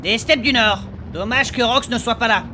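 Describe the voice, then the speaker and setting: A man's voice: very high voice, normal speed, pushed and bright, slight background noise, exaggerated voice. A man playing an eager, curious adventurer in a comic fantasy audio drama, casual, slangy and a little hesitant. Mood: curious, puzzled. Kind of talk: French fantasy comedy audio fiction acting.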